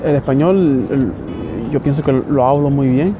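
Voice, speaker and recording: A young man from Miami, vlogging casually outdoors and talking with a hesitant, halting manner full of restarts. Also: mid-range voice. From Miami, United States. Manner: hesitant, many restarts and fillers. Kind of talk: casual talk to camera. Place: outdoors in a city. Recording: dull lo-fi audio with loud background music.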